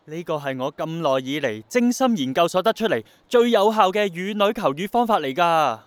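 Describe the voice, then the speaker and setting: A man's voice: high-pitched, animated, normal speed, dry recording. An actor playing an earnest, stubborn young man in a radio drama, insistent in manner, with a Hong Kong Cantonese accent.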